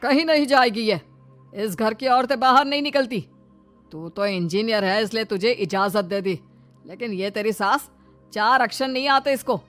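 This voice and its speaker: A woman's voice: fairly high pitch, normal speed, a bit bright, natural voice. Woman acting a scolding, domineering older father-in-law. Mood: angry. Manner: scolding, contemptuous. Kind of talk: Hindi moral-story cartoon dialogue. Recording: music behind the voice.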